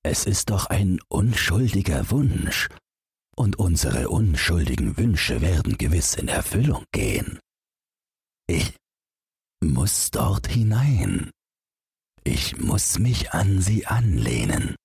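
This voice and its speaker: A man's voice: sits low, big pitch swings, slow pace, vocal fry, a little mellow, breathy edge, dry recording, studio quality, full character voice. A man voicing a naive, yearning snowman in a fairy tale. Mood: longing.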